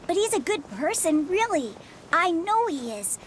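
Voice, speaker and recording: A woman's voice: very high voice, sing-song, unhurried, slight background noise, full character voice. A woman voicing a timid, earnest young village child in a video game, with a hesitant way of talking. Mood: earnest, defensive.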